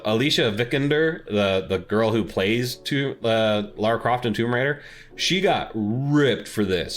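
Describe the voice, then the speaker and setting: A man co-hosting a podcast, talking informally and with strong opinions about movies and games in casual chat. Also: fairly low pitch. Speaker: podcast co-host.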